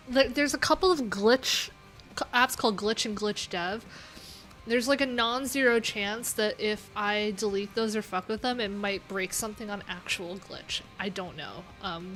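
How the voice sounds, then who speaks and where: Brief: young woman, mid-pitched, casual rambling livestream host, "like" and "um" everywhere, soft music bed. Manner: chatty, rambling, many "like"s and "um"s.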